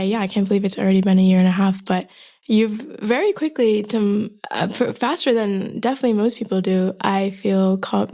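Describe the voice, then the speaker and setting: A young woman, a graduate student co-hosting a podcast, speaking warmly and effusively with frequent fillers. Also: mid-range voice. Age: young adult. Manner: warm and effusive, with frequent fillers like "you know" and "like". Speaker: podcast co-host. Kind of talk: casual interview conversation.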